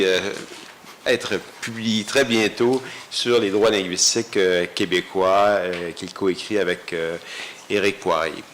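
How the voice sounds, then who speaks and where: A man introduces a speaker at an academic conference. He talks haltingly, with many pauses and fillers. Faint noise hums behind him. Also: on the low side, fairly bright tone. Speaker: conference chair. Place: university lecture hall.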